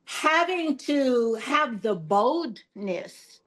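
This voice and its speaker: Slow, soft-spoken older woman. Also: high-pitched, very animated, rough recording. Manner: soft-spoken, measured and earnest, with pauses and fillers. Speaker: podcast guest. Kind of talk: reflective interview talk.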